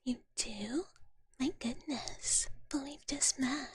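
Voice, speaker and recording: A woman hosting live ASMR, chatting to viewers in a soft, breathy whisper with a gentle, affectionate manner. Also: sits high, slow, no echo. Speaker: ASMR livestream host.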